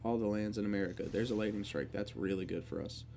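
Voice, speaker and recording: A man's voice: lowish voice, fast, creaky, a little hiss or hum. Congested man casually commentating a card game. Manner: relaxed, thinking aloud while playing. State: congested, sore throat. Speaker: gaming video creator.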